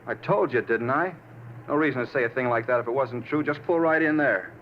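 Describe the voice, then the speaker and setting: Fast-talking, oddly casual murder suspect played by a man. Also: medium pitch, lightly acted. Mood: insistent. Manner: rambling, insistent, casual about his crime. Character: moody murder suspect, naively self-justifying, matter-of-fact. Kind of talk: 1950s radio drama acting. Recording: muffled 1950s radio, faint noise.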